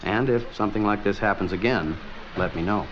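An actor playing a serious, firm military supervisor in a scripted public service radio spot. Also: male voice, on the low side, fairly quick, dull audio, a little hiss or hum, lightly acted. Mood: stern.

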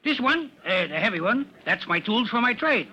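Radio-drama suspect: indignant working-class mechanic, high, very sharp, animated, defensive. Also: male voice, normal speed, muffled recording, heavily acted. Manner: defensive, uneducated slangy speech. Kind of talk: old-time radio drama acting.

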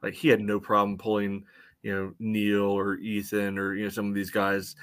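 Lower-voiced man analyzing soccer. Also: monotone, normal speed. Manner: conversational, hedging, frequent "you know". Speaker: soccer podcast co-host. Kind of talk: casual sports analysis.

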